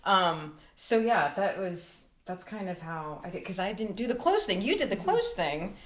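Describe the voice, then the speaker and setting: A woman's voice: medium pitch, big pitch swings, normal speed, a little hiss or hum. A woman chatting casually as a guest, often interrupting herself and starting over as she explains. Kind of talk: casual chat. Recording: echoey room.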